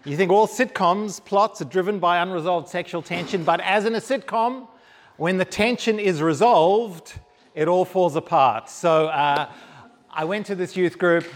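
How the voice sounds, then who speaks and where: Male guest speaker from Zambia, wry and self-mocking, slightly higher voice, long hall reverb. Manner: humorous, self-deprecating. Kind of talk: onstage interview, storytelling.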